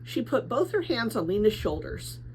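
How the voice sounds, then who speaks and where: Woman, storybook narrator, expressive read-aloud, higher pitch, lively intonation, faint background noise. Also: normal speed, poor audio. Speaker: storybook reader.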